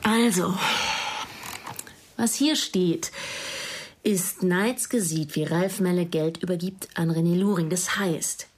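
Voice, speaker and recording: A woman's voice: fairly low pitch, takes it slowly, long pauses, a little mellow, slightly rough. A woman acting in a radio crime drama as a driven, brooding investigator who speaks in an earnest, searching way, in a naturalistic style. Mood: focused.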